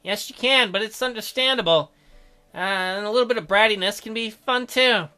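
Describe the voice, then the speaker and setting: Guy playing a gentle flustered doctor man in a visual novel, highish bright voice, stammery and hesitant. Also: moderate pace, clear, resonant tone. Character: gentle, flustered male doctor, self-described old man.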